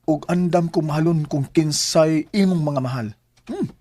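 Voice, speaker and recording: A man's voice: on the high side, normal speed, warm tone, no room sound, close to an everyday voice. An actor playing a smitten, playful young ladies' man in a Bisaya radio drama, with a Filipino accent. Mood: tender, eager.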